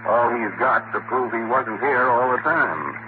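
An actor playing a quick-witted, slangy, insistent newspaper photographer sleuth in a 1940s radio drama. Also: male voice, medium pitch, natural voice. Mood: excited, insistent. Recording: scratchy, muffled, noisy 1940s radio recording.

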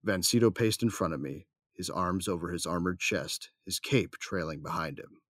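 An actor as an armored warrior narrating a sci-fi audio drama. He has a very deep voice, recorded dry and close, with a wry sense of humor. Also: normal speed, no echo. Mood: wry watchfulness. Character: armored male warrior, wry, affectionate, first-person narrator.

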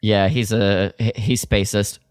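Guy co-hosting a podcast, smooth clear voice, slow pace, playful casual banter. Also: on the low side, fairly even intonation, no room sound, clean studio sound. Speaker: podcast co-host. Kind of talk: casual chat.

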